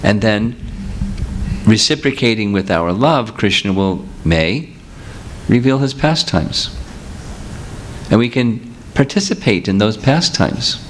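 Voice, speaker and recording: A man's voice: on the low side, animated, slow pace, a little hiss or hum. A man giving a seminar talk as a spiritual teacher, explaining patiently with examples and answering questions. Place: seminar room with audience.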